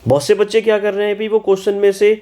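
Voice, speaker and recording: High-voiced man teaching German in Hindi. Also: completely flat delivery, warm tone. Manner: scolding, repeats mistakes students made; spells out German words slowly. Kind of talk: exam-solution lesson in Hindi.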